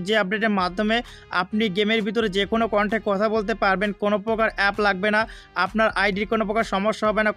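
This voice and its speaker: A Bangladeshi man narrates a gaming tutorial in a high voice. His melody stays flat while he moves quickly through each step, repeating himself to explain. Faint music plays behind him. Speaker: gaming YouTuber.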